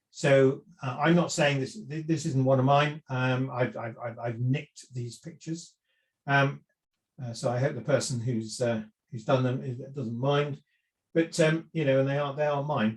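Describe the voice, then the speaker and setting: A middle-aged man giving a seminar talk on property investment, conversational, with frequent fillers and restarts. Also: mid-range voice. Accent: none Welsh. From lived in South Wales for years.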